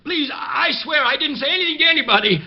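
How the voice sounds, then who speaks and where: A man's voice: extremely high pitch, lively intonation, quick pace, dry recording, raised voice. An actor playing an older man, a frightened, protective ex-convict with streetwise slang, in an old radio crime drama. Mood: terrified, pleading. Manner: pleading, streetwise slangy speech. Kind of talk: 1940s-50s radio drama acting. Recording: dull vintage radio recording.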